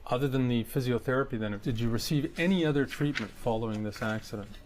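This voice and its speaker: A man's voice: medium pitch, quick pace, close to an everyday voice. A man playing a methodical lawyer who questions an injured claimant in a formal, probing way. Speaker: examining lawyer. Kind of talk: staged legal discovery questioning.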